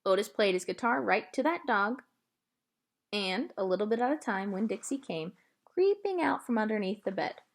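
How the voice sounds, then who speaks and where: Kindly old storyteller played by a female reader, folksy and unhurried, with animated pitch, for a children's storybook. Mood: amused storytelling.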